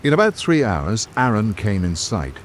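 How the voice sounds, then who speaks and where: A man hosting and narrating in a scripted old-time radio drama style, with dramatic, measured delivery. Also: fairly low pitch, sing-song, a little hiss or hum.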